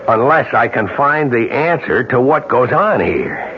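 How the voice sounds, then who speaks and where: An actor with an American accent playing an earnest, determined innkeeper husband in an old-time radio drama. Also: male voice, medium pitch, big pitch swings, a little slow. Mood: resolute. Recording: muffled radio recording, faint noise.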